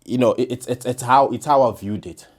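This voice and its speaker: A man's voice: mid-range voice, a little fast. A man, a podcast guest chatting casually and often restarting and repeating words. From South Africa, KwaZulu-Natal. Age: 30s. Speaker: podcast guest, former defense attorney. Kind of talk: casual interview chat.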